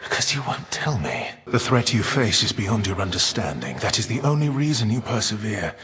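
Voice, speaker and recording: A man playing a weary, defiant, all-knowing Titan in a video game, speaking in a measured, formal, archaic and foreboding way. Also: lowish voice, sing-song, fairly bright tone, big-room sound. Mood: grave, knowing. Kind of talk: theatrical video game character voice acting.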